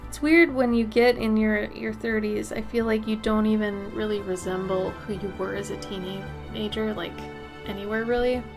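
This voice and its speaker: A woman's voice: mid-range voice, steady tone, moderate pace, music in the background. A woman in her thirties livestreaming while she paints, chatting loosely and frankly with her viewers as she answers their messages. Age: 30s. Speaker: streamer.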